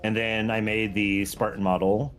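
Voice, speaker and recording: A man's voice: lowish voice, level tone, moderate pace. A man who makes game mods, chatting casually and playfully as a guest on a gameplay stream, with music behind him. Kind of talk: casual chat while playing a game.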